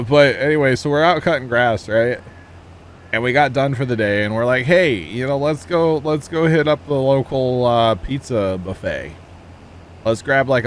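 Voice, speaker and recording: A man's voice: medium pitch, slight background noise. A man chatting casually with his stream viewers while he plays, telling rambling jokey stories and chuckling often. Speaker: livestream gamer. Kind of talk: casual livestream chat with viewers.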